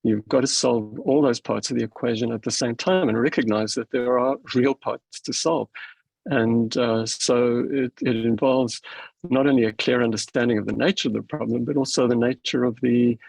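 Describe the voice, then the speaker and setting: A middle-aged man from South Africa, moderating a panel and closing it with his own halting remarks full of ums and restarts. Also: mid-range voice, fairly even intonation, creaky, darkish tone, breathy edge, dry recording, poor audio. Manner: hesitant, many fillers and restarts.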